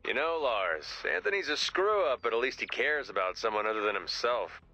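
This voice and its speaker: A wry, animated, bright-voiced rocker. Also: male voice, medium pitch, fairly quick, no room sound, low-quality recording. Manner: wry, sarcastic. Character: dry, sardonic rock musician, grudging but loyal. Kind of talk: video game voice acting.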